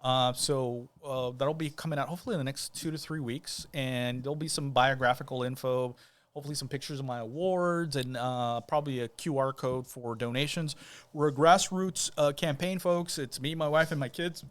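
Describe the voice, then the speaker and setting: A man speaks as a guest on a casual podcast. His voice sits at an ordinary middle pitch, and his talk is loose and easygoing, with frequent fillers and restarts. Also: fairly even intonation, moderate pace. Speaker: guest, judicial candidate.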